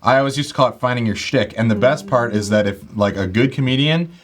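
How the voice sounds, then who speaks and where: A man with a loud voice hosting a casual podcast chat, eager and affirming. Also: medium pitch, quick pace.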